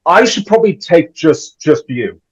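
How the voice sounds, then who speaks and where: Man acting young lawman, shy, awkward, halting, fairly high pitch, script reading. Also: sing-song, breathy edge, lo-fi sound. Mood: bashful. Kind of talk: amateur table read.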